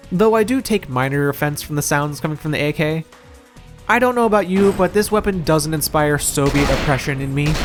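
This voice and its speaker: A man narrating a scripted video game review in a wry, opinionated, casually profane manner, as the reviewer himself. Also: on the high side. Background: music.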